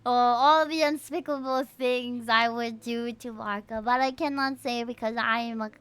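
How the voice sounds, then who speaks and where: A woman's voice: a little high, fairly even intonation, normal speed, a bit bright, smooth, no echo. A woman chatting casually as a livestream host, bubbly and effusive with her viewers. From Philippines. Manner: bubbly, effusive, often repeats greetings and thanks. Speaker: VTuber game streamer and host. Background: music.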